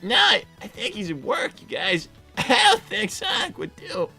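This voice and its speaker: A woman's voice: high voice, wildly swooping pitch, moderate pace, creaky, pushed and bright, faint music behind, heavily acted. A woman voicing a mild young male fox who is hesitant, stammering and conciliatory, in improvised livestream comedy. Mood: uneasy.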